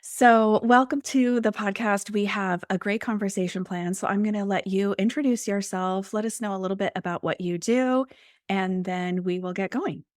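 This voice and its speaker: A woman hosting a podcast as a health and weight loss coach, her voice slightly croaky from a chest cold, chatty and enthusiastic. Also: mid-range voice, fairly even intonation, moderate pace, no room sound, studio quality. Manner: chatty, enthusiastic, with many fillers. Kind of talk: podcast interview.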